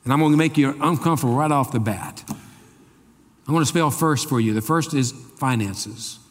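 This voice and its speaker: A man's voice: medium pitch, moderate pace. Guy pastor preaching in a church, jokey and folksy, big echoey hall. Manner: folksy and joking, with playful asides to the congregation. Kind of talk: sermon. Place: church sanctuary. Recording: long reverb of a large hall.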